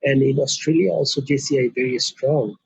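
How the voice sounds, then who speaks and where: A man's voice: mid-range voice, fairly even intonation, brisk, gravelly. A middle-aged man from Bangladesh, a company co-founder being interviewed, talking earnestly with many fillers. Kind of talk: casual interview. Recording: muffled, dry lo-fi sound.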